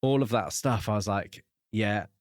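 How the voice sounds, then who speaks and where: A man's voice: on the low side, normal speed, dry recording, clean studio sound. A man co-hosting a podcast and casually discussing a book. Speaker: podcast co-host.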